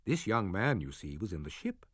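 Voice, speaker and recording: A man reading the part of an aged sorcerer and father, with a husky, measured and solemn delivery. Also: low voice, very animated, no echo, clean studio sound. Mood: reassuring. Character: aged male sorcerer, stern but loving father. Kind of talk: audiobook drama reading.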